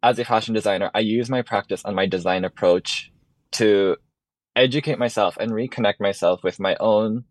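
A man's voice: on the low side, moderate pace, no echo. A young man from Ireland, a fashion design student, speaking earnestly as a radio interview guest.

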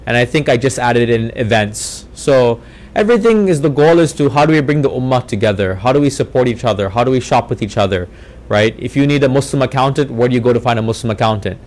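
Guest, American man from Hawaii, middle pitch, relaxed, mixes in Tagalog words. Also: fast talker. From Hawaii, USA. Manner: easygoing, drops in Tagalog words. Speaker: guest, website founder. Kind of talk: interview answers.

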